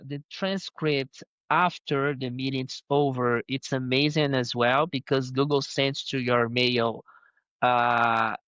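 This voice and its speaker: A man speaking accented English in a slow, halting way, with frequent "uh"s and restarts. His voice sits at a middle pitch and sounds dry and close. The recording is a bit dull, as on a remote call. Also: a bit bright, clear, resonant tone, no echo. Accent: Spanish-speaking. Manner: halting, many fillers and restarts. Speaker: guest, head of design. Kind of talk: interview answers.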